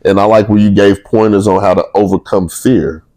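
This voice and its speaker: A man with a deep voice hosting a podcast interview, relaxed and upbeat, laughing often. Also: fairly quick. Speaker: podcast host. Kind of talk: casual interview chat.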